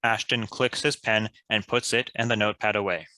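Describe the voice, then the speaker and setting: A man's voice: fairly low pitch, steady tone, a little fast, bright, forceful tone, dry recording. A man reading stage directions as scripted narration for a table read.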